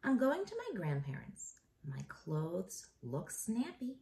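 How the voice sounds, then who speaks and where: Animated, unhurried woman teacher reading aloud. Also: mid-range voice, gravelly. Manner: expressive and encouraging, pauses to ask the listeners questions. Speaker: elementary school teacher. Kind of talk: read-aloud of a children's picture book, talking to young pupils.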